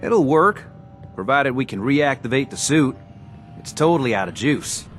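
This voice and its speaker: A man's voice: medium pitch, animated, normal speed, close to an everyday voice. An actor playing a plain-spoken, determined handyman in a video game, with an American accent and colloquial, emphatic delivery. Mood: frustrated, practical. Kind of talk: video game character acting. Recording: faint music behind the voice.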